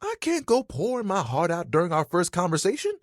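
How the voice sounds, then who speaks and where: Casting: insecure, friendly towel character for a video game; very swooping, theatrical delivery, slightly husky, hesitant and heartfelt. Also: male voice, high voice, brisk, no room sound, studio quality, full character voice. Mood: warm, earnest. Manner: casual, earnest, hesitant, theatrically expressive. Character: living towel, easygoing, sensitive, insecure young male buddy.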